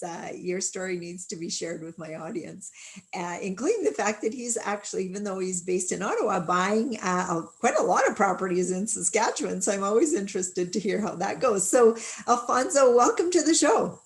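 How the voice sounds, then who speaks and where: Woman podcast host, friendly interviewer, laughs a lot, mid pitch. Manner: warm, laughs often, encouraging. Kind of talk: casual interview hosting.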